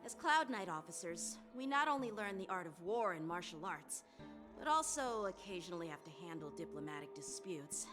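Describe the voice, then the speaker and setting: A woman's voice: fairly low pitch, sing-song, moderate pace. A woman voicing an earnest, polite, boyish young officer in a video game. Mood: explaining. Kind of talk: video game character dialogue. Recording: music behind the voice.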